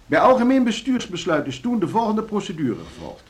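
A man's voice: high voice, very animated, fairly quick. An actor playing a pompous, formal male board chairman giving an evasive, oratorical address in a radio play. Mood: flustered, insistent. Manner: pompous, oratorical, self-repeating.

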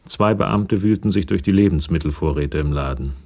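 A man's voice: low voice, normal speed, mellow. A man narrating a police crime radio drama from a script. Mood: measured.

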